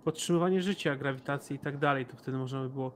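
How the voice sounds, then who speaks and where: A man's voice: mid-range voice, quick pace, slight background noise. A man playing a tabletop role-playing game, casually discussing plans with other players.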